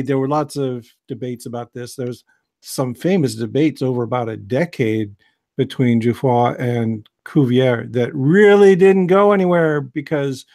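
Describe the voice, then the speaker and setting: An American man giving a relaxed, digressive science lecture on a video call, with many fillers and restarts. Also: medium pitch. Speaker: host, biology lecturer.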